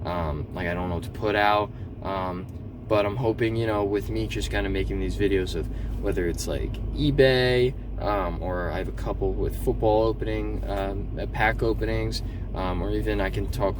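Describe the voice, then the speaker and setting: A man talking casually with many ums and restarts, in a slightly monotone voice, recorded in a car. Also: on the low side, normal speed. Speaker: eBay seller vlogger. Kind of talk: casual vlog talk. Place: in a car, driving around town. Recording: distant mic, loud car and street noise.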